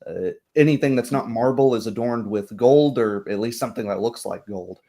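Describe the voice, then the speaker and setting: A man acting as game master, improvising narration for a tabletop roleplay in hesitant, pausing phrases. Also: mid-range voice, mellow.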